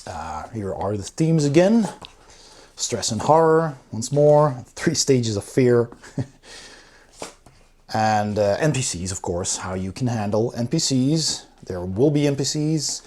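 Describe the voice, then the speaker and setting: A man's voice: mid-range voice, lively intonation, slow pace, darkish tone. A man giving a casual, unscripted review, explaining things in an informal and enthusiastic way. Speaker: board game reviewer.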